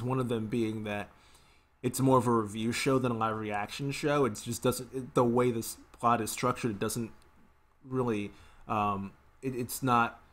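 A man's voice: medium pitch, a bit bright. A man in his twenties giving casual reaction commentary as a video host, rambling and often circling back to rephrase. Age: 20s. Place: small indoor room at home.

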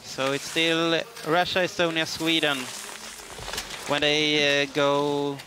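A man's voice: on the high side, flat delivery, slow pace, background noise. A man commentating live on a ski race in English, hesitant and full of fillers. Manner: hesitant, many fillers, non-native English.

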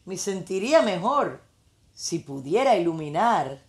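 Woman voicing a downhearted little bulldog, slow-paced. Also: fairly low pitch, sing-song. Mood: sorrowful. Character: young female bulldog, insecure, curious and sorrowful.

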